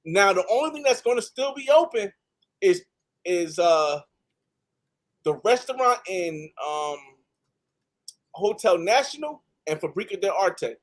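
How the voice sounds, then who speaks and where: A man's voice: high-pitched, very animated, a little slow, long pauses. A man from the United States talking casually on a panel, emphatic and repeating phrases for stress. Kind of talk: casual panel talk, travel advice.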